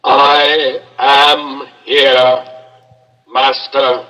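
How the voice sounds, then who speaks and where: Very slow, flat robot voice. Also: male voice, medium pitch, rough recording. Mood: flat, obedient. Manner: halting, measured, mechanical delivery. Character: huge emotionless thinking robot, obedient to its master. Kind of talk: 1960s radio drama acting. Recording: vintage 1960s radio recording.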